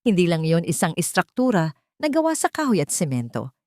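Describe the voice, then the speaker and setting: A woman reading a scripted first-person dramatic story in a sorrowful, deliberate manner. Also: fairly low pitch, huge pitch swings, a little mellow, dry recording, studio quality. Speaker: story narrator.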